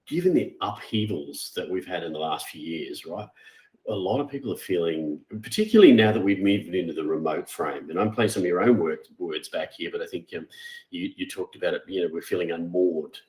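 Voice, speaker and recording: A man hosting an interview, speaking deliberately with hesitant restarts and repeated words. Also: deep voice, darkish tone. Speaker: interview host. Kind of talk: conversational interview. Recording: roomy echo.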